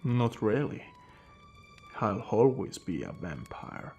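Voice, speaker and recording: A man playing a courteous, calm and wry centuries-old vampire in an ASMR roleplay, speaking in a hushed voice that drifts into whispers with theatrical pauses. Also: lowish voice, vocal fry, soft background music, full character voice. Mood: grave, intrigued. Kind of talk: ASMR roleplay addressed to the listener.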